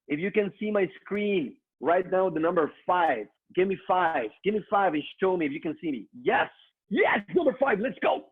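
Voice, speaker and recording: A middle-aged man with a Mexican accent speaks in a fairly high voice as an upbeat motivational trainer. He talks straight to his online audience. The sound is thin and narrow, like a phone line. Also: lively intonation, normal speed, slightly rough. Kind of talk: motivational business presentation.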